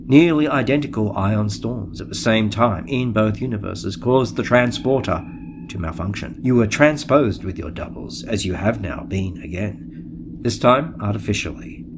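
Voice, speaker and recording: An actor playing a cold, calculating alien starship officer in an audio drama, speaking in a measured, formal, deadpan way. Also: male voice, on the low side, noisy background, lightly acted. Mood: detached, precise. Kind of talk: audio drama narration and dialogue.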